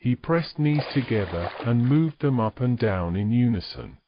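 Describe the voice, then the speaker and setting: A man's voice: mid-range voice, animated, moderate pace, highs cut off, noisy background. A man narrating a scripted story as the narrator of an audio drama.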